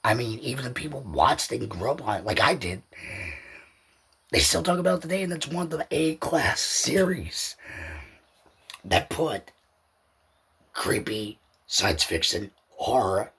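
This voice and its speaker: A man's voice: lowish voice, fairly bright tone, breathy edge. A man hosting a podcast, talking in a casual, rambling solo commentary that loosely circles back over points. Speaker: podcast host.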